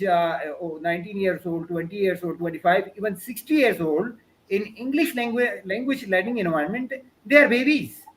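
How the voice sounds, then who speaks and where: A man's voice: sits high, a bit bright, rough recording. A man from Pakistan, a Balti speaker with a Pakistani accent, giving an earnest webinar talk over a video call, often using fillers like "you know" and "means". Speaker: guest lecturer. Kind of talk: webinar talk answering questions.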